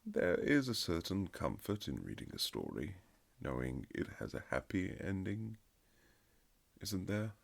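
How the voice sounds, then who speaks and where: A man playing a reserved aristocratic husband in an intimate romantic period audio drama, speaking formally and haltingly with earnest tenderness in a gravelly, husky voice. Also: sits low, wildly swooping pitch, heavily acted. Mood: gently teasing. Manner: formal, old-fashioned, halting, earnest phrasing.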